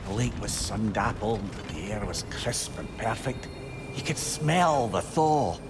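A man's voice: fairly low pitch, lively intonation, a little slow, noisy background. An actor playing a chatty, wry, sentimental male companion in a video game, telling stories in a wordy, teasing way. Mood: wistful. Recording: loud game ambience behind.

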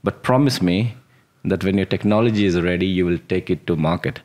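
A man's voice: low-pitched, fairly quick, darkish tone. A man in his forties with an Indian accent, a startup founder answering interview questions earnestly in a small studio. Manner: earnest, with restarts and short laughs. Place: small studio room with dark curtains. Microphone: boom-arm podcast mic on the table.

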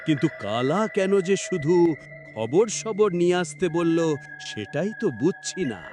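A man plays a cocky demon henchman in a horror cartoon, high-voiced and eager to please his master. He swaggers and boasts, his pitch swinging widely over background music. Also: very animated, unhurried. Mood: boastful. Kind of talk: animated horror fairy-tale acting. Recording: music under the voice.

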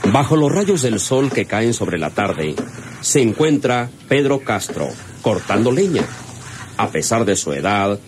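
A man's voice: on the low side, very animated, unhurried, noisy background. A man narrating a radio serial in a dramatic, scripted style.

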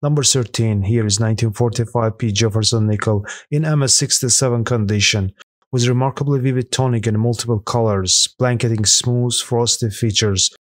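Male narrator, mid-pitched, steady scripted read, dry close mic. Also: normal speed, no room sound. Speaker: narrator of a coin auction countdown.

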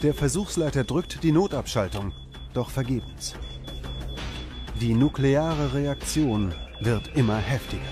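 A man's voice: mid-range voice, lively intonation, unhurried. Male documentary narrator over music. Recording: music behind the voice. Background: background music.

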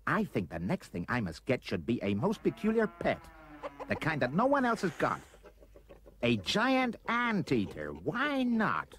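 A man voicing a spoilt, bossy young boy in a storybook, with an imperious, shouting manner. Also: mid-range voice, big pitch swings, a little slow, hardly any pauses, a little hiss or hum. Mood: demanding. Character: spoilt, bossy, bratty young boy demanding exotic pets.

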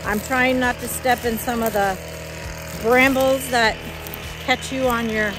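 A woman's voice: fairly high pitch, fairly even intonation, a little slow, noisy background. A middle-aged woman chatting casually to camera as a vlog host, outdoors on a handheld phone. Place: outdoors in a pine clearing. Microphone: handheld phone at arm's length.

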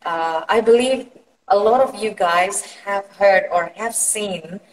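A woman's voice: medium pitch, takes it slowly, long reverb tail, poor audio. A woman from Indonesia in her thirties, a guest psychologist who explains earnestly on a live video call, with many fillers and restarts. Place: bright room indoors. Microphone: phone at arm's length.

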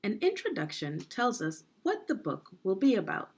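A middle-aged woman with a slightly husky voice, giving a deliberate, instructive read-aloud lesson for young children. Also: medium pitch, sing-song. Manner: deliberate, instructive, with pauses and questions. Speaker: education consultant reading aloud to children.

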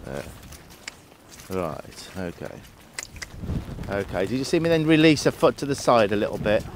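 A man's voice: lowish voice, big pitch swings, normal speed, slight background noise. A man working with a horse outdoors, explaining each step as he goes. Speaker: horse trainer. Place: outdoors in a paddock.

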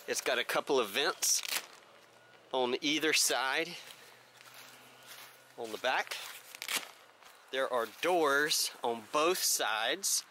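A man's voice: medium pitch, slow pace, pauses often, background noise. An American man around forty giving a casual gear review in vlog style. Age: 30s to 40s.

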